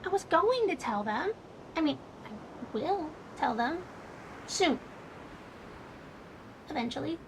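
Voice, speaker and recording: Shy, high-pitched teenage girl played by a woman. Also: big pitch swings, slow pace, pauses often, background noise, heavily acted. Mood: sheepish. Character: shy, eager teenage girl, the narrator's best friend. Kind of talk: audio drama acting.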